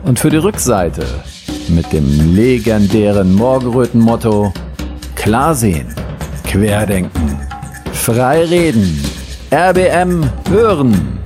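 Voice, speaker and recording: A man's voice: on the low side, sing-song, slow. A man reading a scripted radio promo for merchandise with a tongue-in-cheek delivery. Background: loud music bed.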